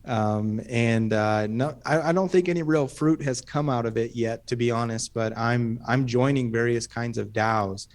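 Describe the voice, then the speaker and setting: A man giving a relaxed, self-deprecating update in an online community meeting. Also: mid-range voice, normal speed, few pauses.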